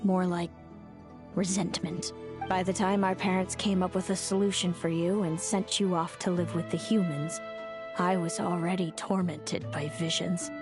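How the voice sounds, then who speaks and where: A woman's voice: on the low side, level tone, normal speed, lightly acted. Woman acting wounded fae older sister over music. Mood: bitter, resentful. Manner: dramatic, wounded, confessional delivery. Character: bitter, tormented fae older sister with prophetic visions. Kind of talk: video game voice acting. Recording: music under the voice. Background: background music.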